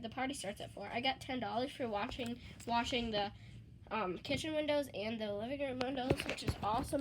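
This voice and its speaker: A teenage girl vlogging casually with a stuffy, congested voice from a cold, chatty and excitable. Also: fairly high pitch, very noisy. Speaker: slime vlogger. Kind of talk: casual vlog chat.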